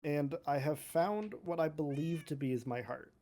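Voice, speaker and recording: A player voicing a calm, thoughtful adventurer in improvised game roleplay, explaining things in a measured, slightly hesitant way. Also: mid-range voice, slight background noise, lightly acted.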